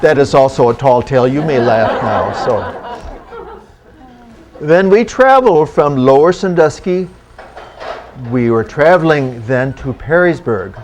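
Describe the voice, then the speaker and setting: A man's voice: mid-range voice, lively intonation, darkish tone, some chatter behind, lightly acted. Man playing a formal old-time country doctor. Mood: wry, storytelling. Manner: formal old-fashioned phrasing, deliberate, theatrical. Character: genial 19th-century German immigrant country doctor, travelling storyteller. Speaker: historical reenactor performing for an audience. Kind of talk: first-person living-history storytelling in character.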